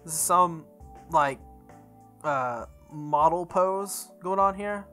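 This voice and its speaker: A young man reading a visual novel aloud as a let's play host, breaking into casual animated commentary. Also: on the high side, slow, soft background music.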